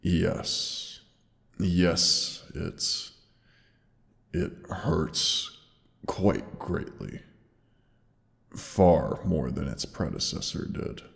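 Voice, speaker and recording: A man voicing a dying gentle dragon. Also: lively intonation, big-room sound, exaggerated voice. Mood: tender, pained. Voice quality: deep gravelly voice. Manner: tender, slow, weary. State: wounded, pained. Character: dying ancient male dragon, gentle and loving. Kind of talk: audio roleplay drama.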